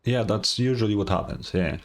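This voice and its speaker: A man's voice: lowish voice, a little fast. A man reacting casually to a game as a streamer, with laid-back, short interjections.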